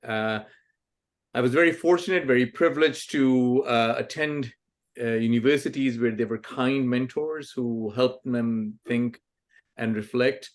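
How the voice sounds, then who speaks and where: A man's voice: mid-range voice, measured pace. A man in his forties from Pakistan, a guest author answering interview questions thoughtfully, with frequent ums and restarts. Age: 40s. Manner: thoughtful, many fillers and restarts.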